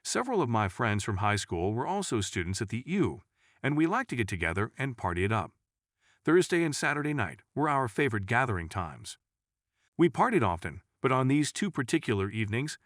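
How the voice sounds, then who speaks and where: A man's voice: mid-range voice, dry recording, studio quality. A man reading a scripted first-person scary story as a narrator.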